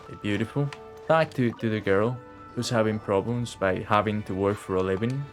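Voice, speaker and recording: A man's voice: lowish voice, moderate pace. A man giving casual, sarcastic play-along game commentary, swearing freely. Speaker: game streamer. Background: video game music.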